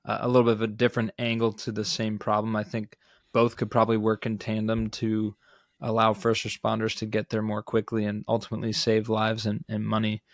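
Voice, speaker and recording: A man co-hosting a podcast, discussing startup news in a measured, analytical, semi-scripted manner. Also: fairly low pitch, monotone, no echo, studio quality. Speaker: podcast co-host.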